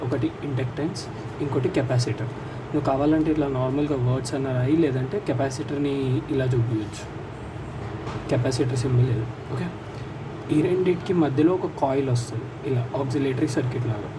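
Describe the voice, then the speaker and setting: A man with a Telugu accent teaching informally, explaining step by step and asking questions that he answers himself. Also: medium pitch. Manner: explanatory, asks and answers own questions, slows to dictate English sentences. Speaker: teacher explaining a circuit diagram to students. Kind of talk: informal lesson, mixing Telugu with English terms. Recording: distant mic, noisy with vehicle sounds.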